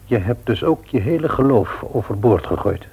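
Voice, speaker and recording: A man playing an earnest, patient, devout middle-aged father in a radio play, with measured delivery and a rough-edged husky voice. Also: medium pitch, expressive, darkish tone, heavily acted. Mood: sombre, resigned. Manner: measured, grave, deliberate. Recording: old radio play recording.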